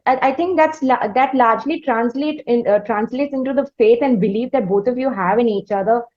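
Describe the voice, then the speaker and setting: A woman with an Indian accent hosting an interview, building up wordy, halting questions in a conversational way. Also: fairly high pitch. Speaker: interviewer, host. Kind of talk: conversational long-form interviewing. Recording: slightly dull, lo-fi audio.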